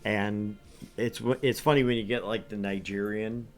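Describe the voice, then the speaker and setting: A man streaming a visual novel, reading narration aloud and chatting with his co-host, animated and quick to laugh. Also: lowish voice, faint music behind. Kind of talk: game stream reading and chat.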